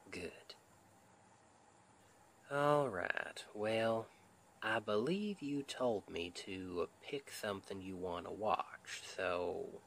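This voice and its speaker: Man acting a slow, tender Southern husband. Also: fairly low pitch, gravelly, slight background noise, heavily acted. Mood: cozy, affectionate. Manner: soothing, gentle, affectionate pet names, often half-whispered. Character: tender, reassuring Southern husband comforting his stressed wife. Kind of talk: ASMR roleplay, intimate and soft.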